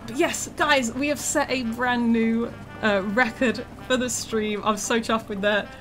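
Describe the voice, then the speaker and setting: A woman's voice: a little high, fairly bright tone. A woman who develops games, chatting casually on a live stream with a slightly worn voice and lots of ums. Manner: chatty, with many ums and laughs. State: slightly losing her voice. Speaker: game developer streaming gameplay. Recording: music playing behind.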